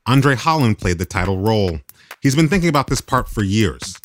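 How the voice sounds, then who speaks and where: A man's voice: on the low side, animated, brisk, faint music behind. A man hosting an interview in a conversational style. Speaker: interviewer and host.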